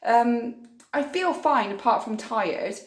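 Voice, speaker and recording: A woman, a little hoarse and croaky from a cough, chatting through her grocery haul in a homely, rambling way. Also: on the high side, lively intonation, slow pace. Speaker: vlogger. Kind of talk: casual grocery haul vlog.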